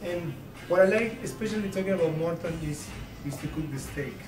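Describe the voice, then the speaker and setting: A man with a Latin American Spanish accent giving casual, halting interview answers, repeating words as he goes. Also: a little high. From born in Argentina, grew up in Mexico. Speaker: executive chef being interviewed. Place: busy department store event. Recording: echoey, distant, loud music behind. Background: loud music.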